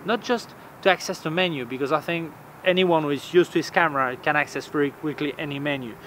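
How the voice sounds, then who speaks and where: A man's voice: fairly high pitch, quick pace, fairly bright tone. A man in his forties with a non-native English accent, reviewing cameras in a casual vlog, hesitant with many fillers and repeated words, recorded outdoors in a city plaza. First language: not English. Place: outdoor urban plaza on a bench. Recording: faint outdoor street noise. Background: faint street ambience.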